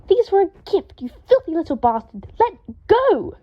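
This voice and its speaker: A woman's voice: very high-pitched, big pitch swings, normal speed, dull audio, pitched above the performer's own voice. A woman reading aloud, voicing a fussy, haughty young wizard man who shrieks in indignant fury. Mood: furious.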